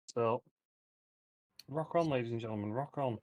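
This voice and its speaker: A man's voice: medium pitch, moderate pace, no echo. A man talking casually as a player, describing what his character does in a roleplaying game.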